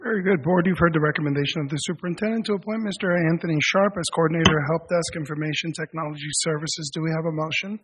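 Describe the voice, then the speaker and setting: A man's voice: a little high, racing, hardly any pauses, noisy background. Man chairing a school board meeting. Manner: formal, procedural, with fillers.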